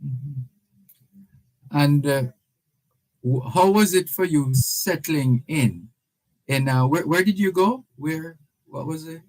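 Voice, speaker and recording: A man who hosts a casual radio interview, asking questions in a measured way, restarting and circling his phrasing. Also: a little high, a little mellow, poor audio. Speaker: radio host.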